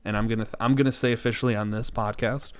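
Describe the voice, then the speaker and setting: A man's voice: lowish voice, fairly even intonation, very fast, smooth. Man chatting as podcast co-host. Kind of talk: casual pop culture discussion.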